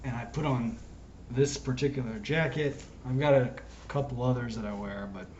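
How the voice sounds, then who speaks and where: A hoarse man in a rambling solo vlog who keeps restarting his sentences and wanders from thought to thought with earnest conviction. His voice sits at an ordinary middle pitch. The recording sounds dull and lo-fi. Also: moderate pace, darkish tone, a little hiss or hum. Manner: wandering, self-interrupting, earnest. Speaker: self-styled prophet vlogger. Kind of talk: rambling solo monologue to camera.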